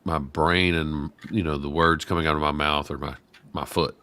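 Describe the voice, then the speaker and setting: A man's voice: very deep voice, flat delivery, moderate pace, bright, forceful tone, clean tone. A man co-hosting a podcast who rambles casually, with lots of fillers and restarts. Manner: rambling, filler-heavy talk. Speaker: podcast co-host.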